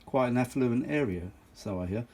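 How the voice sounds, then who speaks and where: A mature man with a slightly rough, husky voice talks at an ordinary male pitch. He shows off vintage gear in loose, unscripted chat, wandering between asides and short exclamations, often saying things twice to stress them. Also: lively intonation, moderate pace, mellow. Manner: rambling, exclamatory, repeats himself for emphasis. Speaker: vintage audio enthusiast showing a machine. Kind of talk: casual unscripted show-and-tell chat.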